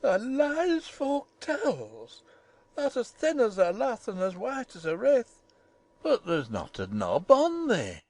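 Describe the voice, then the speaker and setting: A woman voicing an ignorant, tactless old male gardener in a storybook, hoarse and shaky, with halting Yorkshire dialect. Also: a little high, huge pitch swings, darkish tone, full character voice. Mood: tearful joy. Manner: halting, rustic dialect speech.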